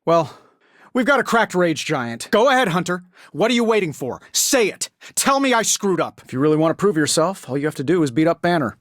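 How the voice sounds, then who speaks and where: An actor playing a cocky, quippy superhero inventor in a video game, glib and sarcastic. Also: male voice, on the high side, very animated, a little fast, fairly bright tone, dry recording, studio quality. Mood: wry, confident. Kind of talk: video game voice acting, scripted quips.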